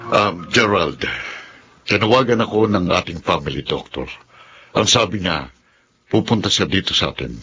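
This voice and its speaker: A man's voice: low voice, moderate pace, long pauses, music in the background, lightly acted. A Filipino actor playing an authoritative middle-aged father questioning his son in a radio drama, probing and emphatic. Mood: inquiring. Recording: faint noise and ambience.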